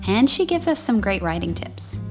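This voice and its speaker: A woman's voice: medium pitch, big pitch swings, normal speed, over music. Effusive woman hosting a podcast. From Charleston area, South Carolina. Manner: effusive, enthusiastic. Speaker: podcast host. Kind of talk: chatty podcast interview. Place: podcast studio.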